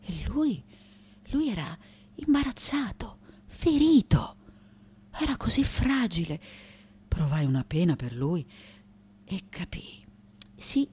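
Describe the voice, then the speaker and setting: Animated elderly woman artist. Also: mid-range voice, breathy edge. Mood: tender, reflective. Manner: confessional, self-correcting, emphatic repetitions, dramatic pauses. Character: elderly woman sculptor, candid, restless, irreverent, vulnerable. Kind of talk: theatrical radio monologue.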